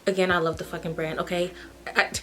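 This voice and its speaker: A young woman from Haiti, chatting casually through a get-ready-with-me skincare routine as a beauty vlogger, frank and quick to ask "okay?". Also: lowish voice, level tone, fairly bright tone.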